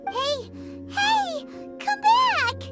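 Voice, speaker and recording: A woman voicing a tiny, kind, outspoken childlike fairy companion in a video game, squeaky and cute with drawn-out phrasing. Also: sing-song, loud music behind the voice, exaggerated voice. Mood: alarmed.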